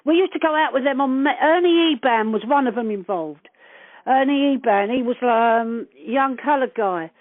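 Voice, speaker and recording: Older female, high-pitched, plain-spoken and talkative, recalling old times down a dry, narrow phone line. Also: animated, moderate pace, no echo. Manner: chatty, earthy and blunt, swears casually. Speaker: interviewee recalling past events. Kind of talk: casual phone reminiscence. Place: on a phone call. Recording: narrowband telephone call, dry.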